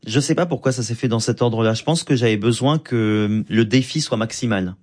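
A stand-up comedian, a man, speaks in a lively, flamboyant manner full of quick asides. His voice sits in a middle register. He sounds like an interview guest at ease in conversation. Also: mid-range voice.

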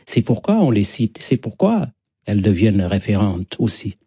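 A man's voice: fairly low pitch, big pitch swings, normal speed, warm tone, husky, no room sound, clean studio sound. A middle-aged man from Senegal with a Senegalese accent, a native Wolof speaker, talking reflectively as a storyteller being interviewed, in poetic, repetitive phrases.

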